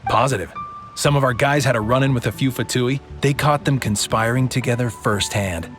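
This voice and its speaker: A man playing a cautious, secretive male soldier who talks in a hushed, conspiratorial way. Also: medium pitch, moderate pace, faint music behind, natural voice.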